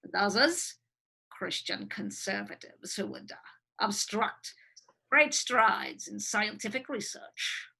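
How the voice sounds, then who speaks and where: A woman's voice: medium pitch, expressive, slow pace, vocal fry, bright, forceful tone, slightly rough, full character voice. A woman playing a gloating, cackling villainous hospital doctor in a script reading.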